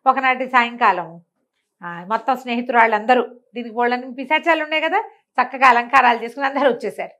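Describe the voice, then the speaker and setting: Fast-talking, high-voiced woman telling a story. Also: sharp tone. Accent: Telugu. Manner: colloquial, acting out dialogue. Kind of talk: animated folk storytelling to a listener.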